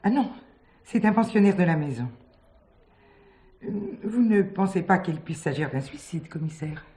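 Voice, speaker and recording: A woman's voice: on the low side, expressive, moderate pace, mellow, natural voice. A woman playing a discreet, polite manageress of a retirement home with a formal manner in a French play. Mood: uneasy. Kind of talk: French radio play acting.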